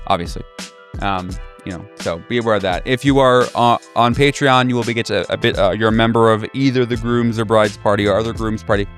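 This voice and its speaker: A man narrating a horror tabletop game as game master, in ornate, literary phrasing. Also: mid-range voice.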